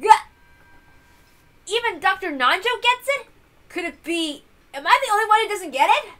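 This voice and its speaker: A woman's voice: very high voice, animated, moderate pace, a bit bright, voice pushed up. A woman voicing a brash, easily flustered young man, exclaiming informally in exasperation in a visual novel reading. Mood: dismayed.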